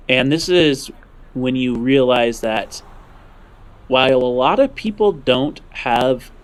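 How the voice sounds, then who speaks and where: Slow-paced man narrating a tabletop game. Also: mid-range voice. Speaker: game master.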